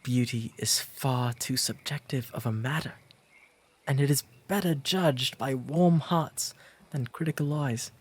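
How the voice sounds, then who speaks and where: An earnest, shyly smitten English gentleman of the 19th century played by a man in a romantic audio roleplay. His delivery is slow and formal, halting a little with nervous sincerity. The voice is soft and breathy, with a slightly husky edge. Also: mid-range voice. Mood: earnest, consoling.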